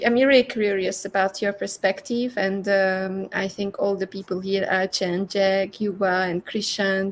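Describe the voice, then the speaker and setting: A woman's voice: mid-range voice, a little hiss or hum. An enthusiastic woman hosts an online summit over a video call. She introduces speakers and guides the interview, with frequent fillers and restarts. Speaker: summit host.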